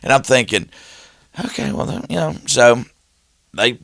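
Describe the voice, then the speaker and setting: A man's voice: medium pitch, unhurried, vocal fry, no echo, clean studio sound. A man, telling stories in casual chat and acting out the dialogue as he goes. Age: 50s. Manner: animated storyteller who acts out quoted dialogue.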